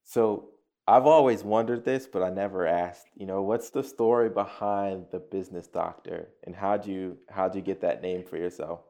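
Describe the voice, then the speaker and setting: A man's voice: low voice, steady tone, moderate pace, warm tone. A man hosting a podcast interview in an upbeat way, often repeating his words. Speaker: podcast host.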